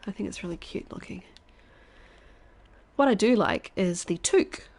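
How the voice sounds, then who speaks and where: A woman in her thirties, a stuffy-nosed streamer chatting casually while she plays, thinking aloud and now and then dropping to a quiet whisper. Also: medium pitch, animated, normal speed. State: congested, stuffy nose. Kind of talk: casual live-stream chat while gaming.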